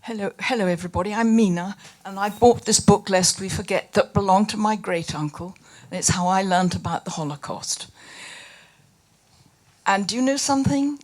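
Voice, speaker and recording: A woman's voice: medium pitch, normal speed, darkish tone. A woman in her eighties from Britain gives an impassioned audience comment, stumbling over repeated words. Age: 80s. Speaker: audience member asking a question.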